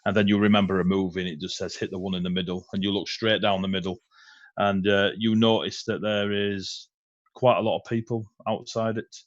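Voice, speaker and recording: A man's voice: low-pitched, level tone, brisk, no echo, muffled recording. A man running a tabletop game as game master, chatty and rambling, with fillers and laughs.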